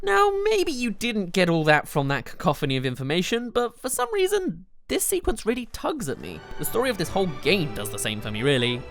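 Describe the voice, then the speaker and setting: A man with a fairly high voice delivers scripted countdown commentary as a game reviewer. His delivery is animated and gushing, with informal phrasing. Game music plays clearly underneath him. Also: brisk, hardly any pauses. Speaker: video game reviewer and narrator. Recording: loud music behind the voice. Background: game soundtrack music.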